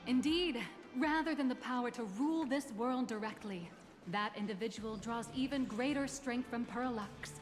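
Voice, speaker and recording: A woman plays a corporate guide from a video game, composed, formal and guarded. Her voice sits a little high. The game audio carries a long reverb tail. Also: moderate pace, over music, lightly acted.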